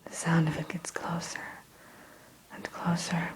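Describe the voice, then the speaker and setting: A woman narrating a guided ASMR story in a soothing whisper, slowly, with deliberate pauses. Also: on the low side, monotone, low-quality recording. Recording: faint background hiss.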